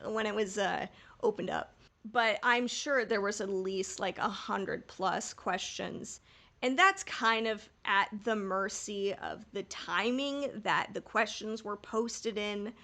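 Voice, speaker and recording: A woman's voice: a little high, a bit bright. A woman co-hosting a podcast in casual chat, candid and slangy in the way she talks. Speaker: podcast co-host.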